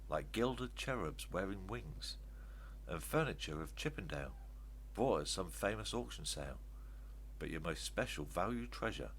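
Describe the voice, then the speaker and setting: Animated older man reading rhyming stories. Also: low voice, poor audio. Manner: expressive, emphatic. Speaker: children's story reader. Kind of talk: read-aloud rhyming verse storytelling. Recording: steady background noise with hum.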